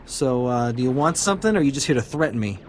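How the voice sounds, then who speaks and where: An actor playing a weaselly, aggrieved refugee informant in a video game, whiny, mouthy and wheedling. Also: male voice, medium pitch, animated, quick pace, slight background noise, natural voice. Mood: sly, wheedling. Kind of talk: video game cutscene acting.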